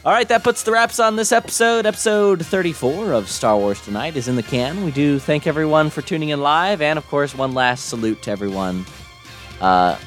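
Slightly hoarse young man hosting a podcast. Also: a little high, animated, fairly quick, a bit bright, soft background music. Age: young adult. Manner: rambling, upbeat, many fillers and restarts. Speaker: podcast host. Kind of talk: casual live podcast sign-off.

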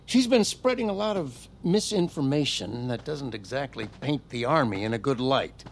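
An actor playing an impatient, sarcastic and commanding army corporal in an audio drama, with a clipped, threatening delivery. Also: male voice, mid-range voice, expressive, normal speed, a little hiss or hum, close to an everyday voice. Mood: impatient, hushed pressure.

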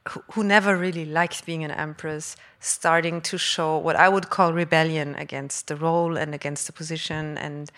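A woman's voice: deep voice, a bit bright. A woman from Austria, a film director, speaking at a live panel and restarting her sentences often. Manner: halting, with frequent restarts. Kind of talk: panel conversation. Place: live stage event before an audience.

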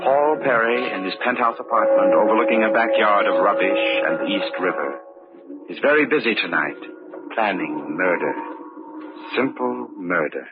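An actor playing a grave, ominous narrator of a murder thriller, with measured old-time radio delivery. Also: male voice, lowish voice, lively intonation, loud music behind the voice, lightly acted. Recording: muffled old radio recording.

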